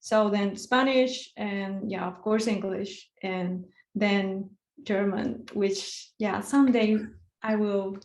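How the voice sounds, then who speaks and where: Slow, soft-spoken Colombian woman, echoey room. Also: mid-range voice, fairly even intonation. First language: Portuguese. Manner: soft-spoken and unhurried, with frequent laughs and fillers. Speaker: guest artist. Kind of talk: casual interview chat.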